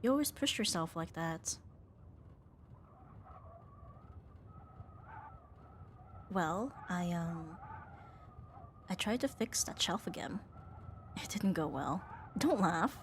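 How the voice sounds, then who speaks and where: A woman's voice: lowish voice, lively intonation, unhurried, long pauses, slight background noise. A woman playing a young tomboy roommate, affectionate but flustered, in an intimate ASMR romance roleplay with a hesitant, teasing delivery. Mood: casual, sheepish. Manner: hesitant, teasing, breathy sighs and nervous laughs.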